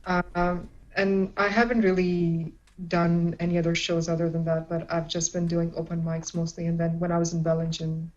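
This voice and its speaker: Young woman comic from Pakistan; casual, giggly chat; lower pitch; muffled lo-fi recording. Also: little pitch movement, moderate pace, few pauses, darkish tone. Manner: chatty, laughs often, many restarts. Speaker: stand-up comedian. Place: at home in isolation.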